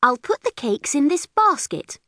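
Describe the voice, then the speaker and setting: A woman's voice: high voice, very animated, unhurried, a bit bright, no echo, clean studio sound, pitched above the performer's own voice, heavily acted. A woman voicing a plucky little girl in a storybook, polite but firm. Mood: crafty, polite.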